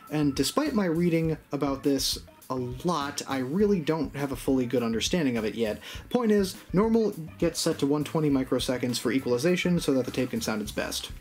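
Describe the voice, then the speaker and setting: A man in his thirties hosting an explainer video in a playful, animated way with casual asides. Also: fairly high pitch. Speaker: video host. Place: small home room with hi-fi gear, indoors.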